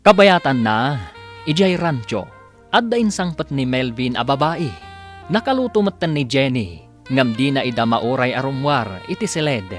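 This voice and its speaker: A man's voice: medium pitch, lively intonation, normal speed, soft background music. A man with an Ilocano accent narrating a radio drama serial in a dramatic, scripted style.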